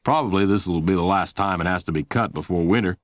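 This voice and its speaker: A man's voice: sits low, brisk, no echo, clean studio sound, lightly acted. A man voicing a kindly, matter-of-fact policeman in a children's audiobook. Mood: friendly, matter-of-fact. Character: easygoing, kindly adult policeman.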